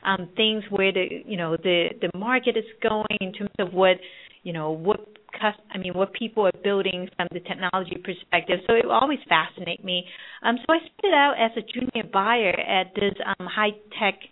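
A woman in her thirties from Hong Kong, a marketing director speaking as a guest by phone, relaxed and upbeat with frequent ums. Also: mid-range voice, normal speed. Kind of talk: phone interview. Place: at home or office on the US West Coast. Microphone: phone handset. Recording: phone line, narrow band.

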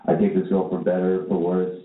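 A young man performing a stand-up set in a comedy club, deadpan and self-mocking. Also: sits low, a little fast, over a noisy crowd. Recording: echoey club, tinny and noisy.

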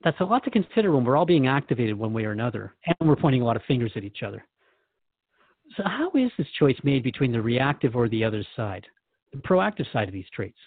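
A man's voice: medium pitch, very animated, quick pace, no echo, phone-quality sound. A man hosting a reflective spiritual conversation, asking earnest questions as he thinks aloud. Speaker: podcast host questioning a spiritual guide.

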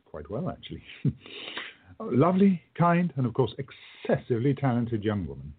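A man playing a kindly, courteous time-travelling shopkeeper in a radio play, speaking gently and a little hesitantly. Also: medium pitch, huge pitch swings, moderate pace, husky, narrow, tinny audio. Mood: fond, hushed.

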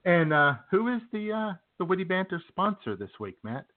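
A man's voice: sits high, sing-song, moderate pace. A man co-hosting a podcast with casual, joking banter and mock-serious remarks. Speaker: co-host of a comedy podcast. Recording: tinny phone-like internet radio audio.

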